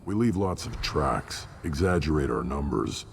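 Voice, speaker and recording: A gruff alien warrior commander from a video game, with a rock-bottom voice. He is blunt and battle-hardened, gravelly in tone, and heard in a long reverberant space with noise behind him. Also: a bass voice, lively intonation, a little slow, heavily acted. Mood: gruff, matter-of-fact. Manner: blunt, matter-of-fact.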